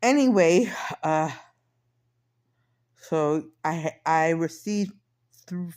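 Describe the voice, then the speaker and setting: A woman's voice: low voice, expressive, drawn-out words, a little mellow. A woman in her fifties chatting casually in a rambling personal diary style, often repeating and correcting herself. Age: 50s. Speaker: personal vlogger.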